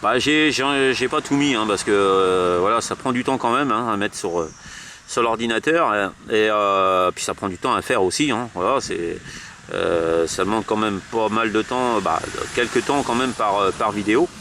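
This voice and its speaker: A man in a casual amateur radio chat, speaking haltingly and repeating himself with many verbal tags. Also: on the low side, bright, forceful tone. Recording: noisy radio transmission, vehicle-like hum.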